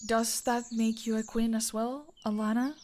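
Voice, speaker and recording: A woman playing a modest, grateful farmer in a fantasy audio drama, gentle, polite and a little hesitant. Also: fairly high pitch, flat delivery, moderate pace, a little hiss or hum, natural voice. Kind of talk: scripted fantasy audio roleplay.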